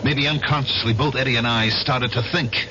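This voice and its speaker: Actor as earnest American veteran, noisy old recording. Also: male voice, mid-range voice, steady tone, normal speed, dull audio, close to an everyday voice. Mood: accusing. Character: earnest, insistent American veteran confronting his old comrades. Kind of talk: old-time radio drama acting.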